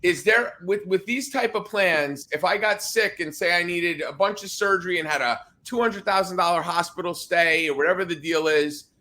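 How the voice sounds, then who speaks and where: Man, podcast host, high voice, casual rambling chat, playful. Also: normal speed, hardly any pauses, bright, forceful tone. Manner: rambling, repeats words, playful teasing.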